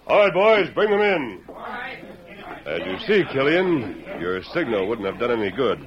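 An American man playing a calm, resolute masked lawman hero in an old radio western, with a crisp, commanding manner. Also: mid-range voice, a little slow, a crowd in the background. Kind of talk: 1940s radio western acting. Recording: muffled old radio recording.

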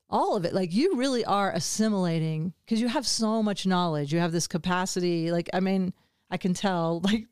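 Woman in her 60s hosting a podcast, smooth voice, kinda low, dry sound. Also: a little mellow, no room sound. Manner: supportive, finishes the guest's sentences. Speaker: podcast host. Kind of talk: casual podcast conversation.

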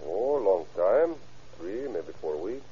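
An actor playing an evasive Mexican husband and father in halting, accented English, in old-time radio drama style. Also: deep voice, very animated, dark tone, breathy edge, heavily acted. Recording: muffled vintage radio recording.

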